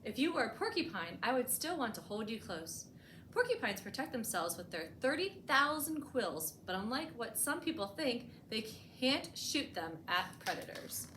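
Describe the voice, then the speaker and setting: A woman reading a picture book aloud for children as a storytime host, expressively and with deliberate emphasis, adding short explanatory asides. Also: fairly high pitch, very animated. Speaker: librarian hosting a bedtime storytime. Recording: echoey room with clear background noise.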